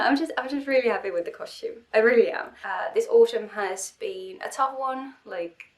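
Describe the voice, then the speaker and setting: Young woman vlogging, stuffy and sniffly, rambly and self-deprecating, lots of restarts. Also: medium pitch. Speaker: cosplay vlogger. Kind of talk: casual vlog talk.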